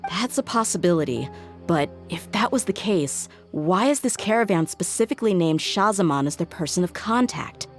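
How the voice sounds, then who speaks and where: An actress playing a loyal, principled young female bodyguard in a video game, speaking in a measured, frank way. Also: lowish voice, animated. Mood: suspicious, serious. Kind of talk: video game character dialogue. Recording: music playing behind the voice.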